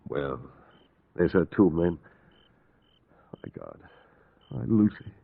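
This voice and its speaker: An actor playing an anguished, skeptical asylum doctor in a radio horror play, expressive and agitated, in clipped phrases. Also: male voice, low-pitched, takes it slowly, vocal fry, full character voice. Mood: weary, bitter. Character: anguished asylum doctor, skeptical, emotional, grieving former suitor. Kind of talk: old-time radio horror acting. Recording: tinny vintage radio with long echoing reverb.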